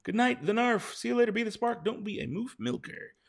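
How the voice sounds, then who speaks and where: Tired, gravelly-voiced man chatting with his stream. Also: very high-pitched, brisk. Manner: chatty, reads out viewer questions and answers them. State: hoarse, tired. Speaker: streamer answering chat. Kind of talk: casual live-stream chat.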